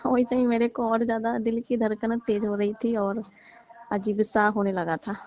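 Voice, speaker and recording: Woman playing a coy, high-voiced student on phone. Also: little pitch movement, normal speed, darkish tone, natural voice. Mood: pleading. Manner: hesitant, coy, pleading. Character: coy, bashful young female coaching student, evasive, placating. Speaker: performer in a comic prank-style phone call skit. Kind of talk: casual phone conversation skit. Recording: muffled lo-fi phone call recording.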